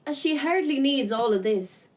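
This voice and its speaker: A woman's voice: high voice, lively intonation, moderate pace, a little mellow. A woman playing a wry, nosy character in casual, naturalistic radio drama dialogue. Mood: wry, curious. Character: wry, nosy woman sorting a relative's belongings. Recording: distant from the mic.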